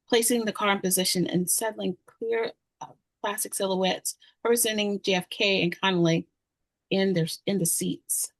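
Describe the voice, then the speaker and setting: A woman's voice: medium pitch, no room sound, rough recording. A woman reading stage directions as scripted narration.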